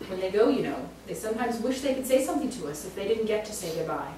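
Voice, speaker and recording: Casting: woman reader playing a blunt, businesslike female psychic, calm and sure of herself, heard in a very echoey room. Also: medium pitch, fast, lightly acted. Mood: calm, assured. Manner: calm, assured, matter-of-fact. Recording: echoey room, distant mic, faint noise.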